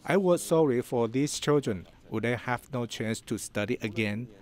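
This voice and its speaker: A man's voice: mid-range voice, normal speed, a little mellow. Man voicing over translated interviews.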